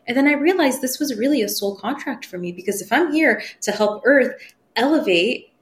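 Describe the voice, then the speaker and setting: A woman's voice: on the high side, expressive, moderate pace. A woman whose was Farsi, telling personal stories as a podcast guest and acting out the voices she quotes, laughing easily. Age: 30s. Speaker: podcast guest, spiritual medium. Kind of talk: casual interview storytelling.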